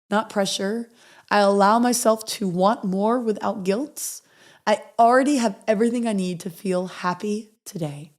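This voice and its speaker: A woman with a raspy voice, hoarse from a recent illness, hosts a motivational podcast. She speaks earnestly at a middle pitch. Her affirmations come out quiet and unhurried. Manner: earnest, reading affirmations softly and slowly. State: hoarse from recovering after illness. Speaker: podcast host.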